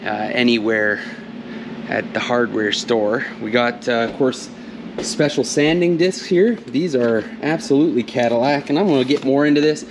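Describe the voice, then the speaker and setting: A man's voice: mid-range voice, moderate pace, background noise. Folksy rambling man in a workshop. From Canada. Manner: rambling, folksy, many fillers and restarts. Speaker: channel host and tool business owner. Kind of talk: casual vlog talk to camera. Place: large indoor workshop with wood loft. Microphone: camera held at arm's length.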